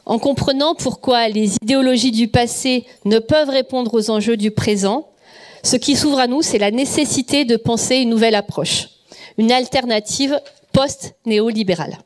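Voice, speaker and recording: A woman hosting an event, giving a measured and polite formal introduction of the speaker. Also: on the high side. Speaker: event host.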